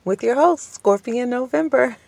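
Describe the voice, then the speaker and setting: A woman hosting a casual solo podcast from home, under the weather with a strained voice, talking slowly with many hesitations and apologies. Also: on the high side, gravelly, darkish tone, no echo. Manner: slow, hesitant, many ums and restarts; polite and apologetic. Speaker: podcast host. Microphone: home podcast microphone.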